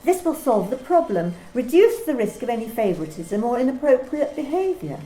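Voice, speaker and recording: A woman's voice: sits high, big pitch swings, fairly quick, dark tone, reverberant, rough recording. A woman playing a cool, condescending company manager in an amateur radio play reading. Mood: cold. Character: cold corporate woman manager, clipped and controlling.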